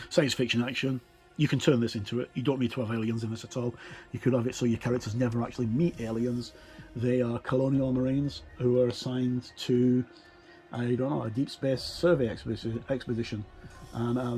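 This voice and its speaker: A middle-aged man talks through material in a casual, explanatory way. His voice sits at an average pitch, and he often hesitates as he finds his words. Music plays behind him. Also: darkish tone, rough recording. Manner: informal, explanatory, halting with frequent hesitations. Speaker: tabletop game reviewer. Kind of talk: casual unscripted walkthrough commentary.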